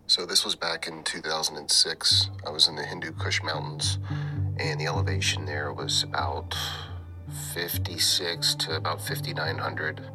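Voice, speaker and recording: A middle-aged man telling his own story in a loose, rambling way, full of likes and you knows, often dropping to a near whisper. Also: a bass voice. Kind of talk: casual spoken recollection. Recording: music bed under the voice.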